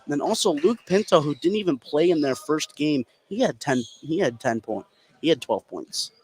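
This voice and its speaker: A man giving analytical color commentary on a live high school basketball broadcast. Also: lively intonation, moderate pace, a little mellow. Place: indoor high school gym. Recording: clear gym noise behind.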